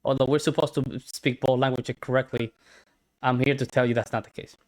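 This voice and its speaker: A man from Puerto Rico with a Puerto Rican accent and Spanish as his first language, giving casual commentary on a clip, often halting and repeating words. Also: medium pitch, flat delivery, rough recording. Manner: halting, repeats words while searching for them. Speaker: reaction video host.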